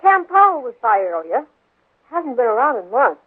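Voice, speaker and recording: An actress playing a plain, hospitable, uneasy rancher's wife in a 1950s radio western, with clipped, folksy country phrasing. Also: female voice, a little high, sing-song, normal speed, breathy edge. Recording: muffled, narrow 1950s radio recording.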